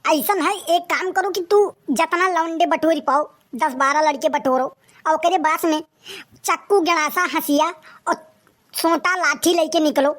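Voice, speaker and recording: Woman voicing a shrill, boastful young village gangster. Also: measured pace, fairly bright tone. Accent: Awadhi. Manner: bragging, pushy. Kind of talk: comic cartoon dialogue.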